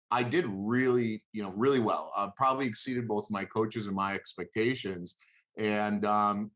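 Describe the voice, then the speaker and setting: Guy in his 30s, native hebrew speaker, lowish voice, chatting in an interview, kinda halting and repeats himself. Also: fairly bright tone, rough recording. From born in Israel, lived there until seven. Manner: halting, repeats words as he thinks aloud. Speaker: interview guest, former college wrestler. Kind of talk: conversational interview answers.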